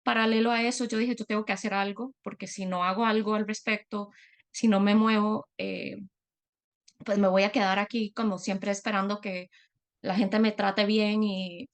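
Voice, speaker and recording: A middle-aged woman from Latin America giving a personal talk as a guest speaker, reflective and earnest, often pausing on fillers. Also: medium pitch.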